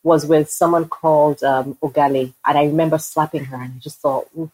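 A woman podcast host with a low voice with a husky edge. She talks in a casual, playful way, moving between interview questions and animated storytelling. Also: a little fast, poor audio. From Nigeria.

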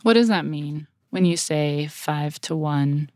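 A woman co-host chats casually on a podcast. Her pitch sits slightly low. The recording is very dry and close. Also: slow pace, a little mellow, dry recording. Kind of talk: casual chat.

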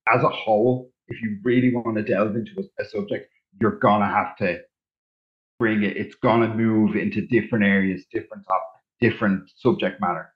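A man's voice: lowish voice, fairly even intonation, moderate pace. Laid-back man with a dull lo-fi sound. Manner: agreeable, reflective, rambling sentences. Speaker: podcast co-host. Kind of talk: casual chat.

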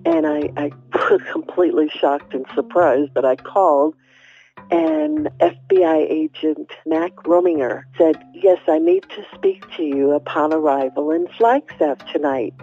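An older woman recalling events in an interview, telling them as an animated storyteller who acts out dialogue. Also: sits low. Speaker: retired bus driver, interviewee. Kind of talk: first-person recollection in an interview. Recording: dull, band-limited interview audio.

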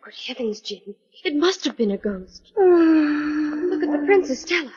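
An actress playing an excitable female assistant to a detective hero in a 1940s radio drama. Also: sits high, measured pace. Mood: frightened. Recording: dull, reverberant 1940s radio recording.

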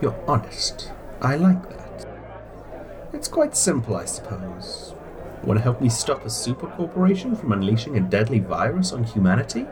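An actor playing a suave, scheming spy recruiter in an audio drama, courteous and theatrical with formal phrasing. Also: male voice, mid-range voice, big pitch swings, moderate pace, crowd noise, close to an everyday voice, poor audio. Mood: persuasive. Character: suave, polite, scheming man recruiting spies.

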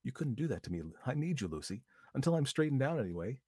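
A man's voice: mid-range voice, big pitch swings, fast, dark tone, husky, no echo, studio quality, pitched above the performer's own voice. A man reading aloud voices a nervous young woman with a drinking problem who pleads and frets. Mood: pleading.

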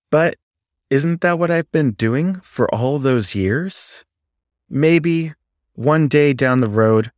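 A man's voice: mid-range voice, dry recording, studio quality. A man narrating a first-person story in an earnest, confessional manner.

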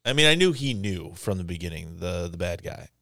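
A man's voice: deep voice, big pitch swings, brisk, creaky, bright, forceful tone, no room sound. A man in casual conversation about a movie, swearing freely and saying "like" a lot. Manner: loose, swears freely, uses "like" often. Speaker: podcast co-host.

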